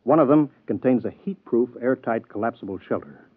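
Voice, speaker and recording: An actor playing a businesslike space program chief with dry humor, in a 1950s radio drama. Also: male voice, mid-range voice, animated, a little fast, dry recording, narrow, tinny audio, natural voice. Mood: brisk. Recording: dry telephone-thin radio broadcast.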